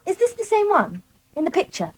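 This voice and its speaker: An actress playing an affectionate, playful young granddaughter, speaking softly in a radio drama. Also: female voice, extremely high pitch, wildly swooping pitch, brisk, heavily acted. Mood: affectionate, pleased. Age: young adult. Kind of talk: naturalistic radio drama acting. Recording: 1980s radio drama broadcast.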